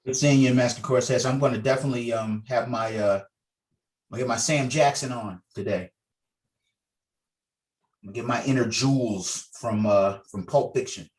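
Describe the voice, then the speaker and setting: A man's voice: mid-range voice, normal speed, pauses often, a little mellow. A man chatting casually and explaining things in an informal, colloquial way on a video call, as the organiser of a table read. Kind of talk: casual meeting chat. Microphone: video call microphone.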